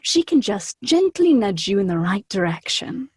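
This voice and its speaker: A woman speaking as an interview guest, telling things in an animated, casual, storytelling way. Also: medium pitch, moderate pace, slightly rough, no echo.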